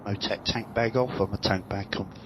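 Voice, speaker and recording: A man with a fairly low voice chats casually about his ride as a motorcycle vlogger. He is matter-of-fact and drily funny, talking into a helmet intercom outdoors with very loud vehicle noise around him. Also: fairly even intonation, normal speed. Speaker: motorcycle vlogger reviewing own bike. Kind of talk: casual rambling spoken review while riding. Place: outdoors on a motorbike and at a fuel stop. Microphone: helmet intercom microphone.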